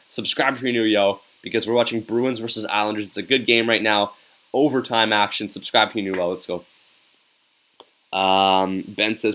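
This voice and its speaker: A man's voice: fairly low pitch, sharp tone. A young man hosting a casual live stream, reading viewer comments aloud in an upbeat, chatty way and often tossing in "yo". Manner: upbeat and chatty, says "yo" a lot, hypes up viewers. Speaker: live-stream host reacting to a hockey game.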